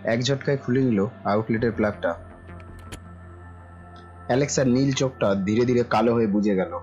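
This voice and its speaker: A man's voice: mid-range voice, normal speed, darkish tone, dull audio, soft background music. A man narrating a scripted horror story in a dramatic, suspenseful style.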